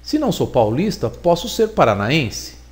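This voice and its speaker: Man teaching logic with lively intonation. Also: fairly high pitch. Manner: deliberate, explanatory, repeats points for emphasis. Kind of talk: recorded logic lesson.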